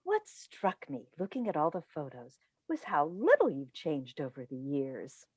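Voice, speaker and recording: Actress as a very animated, polished talk show host. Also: female voice, sits low, measured pace, breathy edge, full character voice. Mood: smoothly probing. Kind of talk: staged play reading. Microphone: video call.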